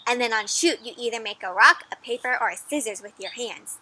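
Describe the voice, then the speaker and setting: Bright, high-voiced woman reading to kids. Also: a little fast, rough recording. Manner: animated, asks listeners questions, encouraging. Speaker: children's storybook reader. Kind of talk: interactive read-aloud for kids.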